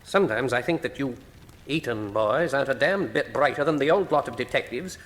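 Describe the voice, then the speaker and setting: Actor playing a blustery British police chief, swooping delivery. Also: male voice, medium pitch, lively intonation, fairly quick, lightly acted. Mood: exasperated. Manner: brusque, pompous, old-fashioned gentlemanly speech. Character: blustery, sceptical senior British police chief, Sir George. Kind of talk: period radio drama acting. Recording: long hall reverb.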